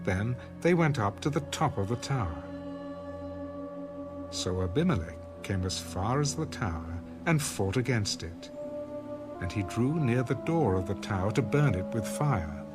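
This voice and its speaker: Male narrator, low register, solemn measured scripture reading with music underneath. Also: expressive. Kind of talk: dramatized audio Bible narration. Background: background music.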